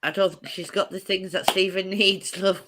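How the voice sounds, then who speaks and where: A woman's voice: medium pitch, steady tone, moderate pace, background noise. A woman co-hosting a casual live chat stream, teasing and laughing often.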